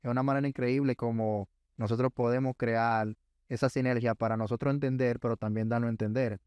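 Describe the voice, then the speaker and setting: Podcast host guy, clear smooth voice, dry close sound, thoughtful and agreeable. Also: mid-range voice, fairly even intonation, fairly quick, a little mellow, no room sound. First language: Spanish. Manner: reflective, agreeable, builds on the guest's points. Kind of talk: casual interview chat.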